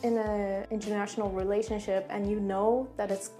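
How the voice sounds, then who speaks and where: A young woman from Sweden, speaking in a thoughtful, measured way in an interview. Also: mid-range voice, little pitch movement, mellow. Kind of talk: casual interview talk. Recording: faint music behind.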